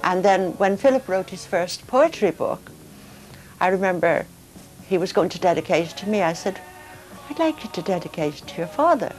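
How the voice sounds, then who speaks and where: An older woman speaking softly and hesitantly in a documentary interview, emotional as she remembers her son. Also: on the low side, expressive, moderate pace, faint music behind, poor audio. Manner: soft, hesitant, emotional reminiscing. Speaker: interviewee, mother of the subject.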